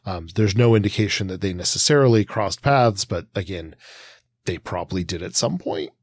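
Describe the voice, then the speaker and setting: A man's voice: low voice, normal speed, slightly rough, no echo. A man in his forties co-hosting a literary podcast, with a hesitant, meandering, exploratory way of talking. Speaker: podcast co-host. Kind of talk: conversational literary commentary.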